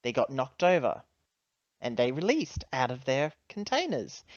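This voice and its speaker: A woman's voice: a bass voice, sing-song, measured pace, dry recording, highs cut off, exaggerated voice. A woman playing a blunt, indignant spaceship officer in a tabletop game, confrontational, sarcastic and demanding. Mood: indignant, explaining.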